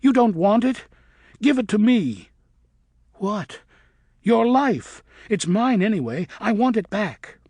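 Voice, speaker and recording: A man voicing a young female bat who is angry and grieving, shouting and wailing in a high voice. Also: lively intonation, takes it slowly, dry recording, exaggerated voice. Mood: raging.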